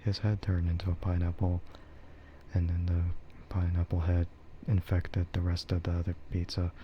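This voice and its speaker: A man chatting casually and soft-spoken with his livestream viewers, rambling in a relaxed way. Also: deep voice, normal speed, muffled recording, slight background noise. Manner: relaxed, soft-spoken ASMR yapping, often chuckling. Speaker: streamer, VTuber host.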